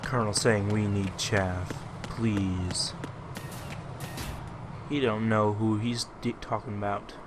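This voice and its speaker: A man's voice: on the low side, flat delivery, takes it slowly, long pauses, noisy background. A man giving casual, wry game commentary in an offhand, muttering way as he plays. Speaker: let's player. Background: game music and sounds.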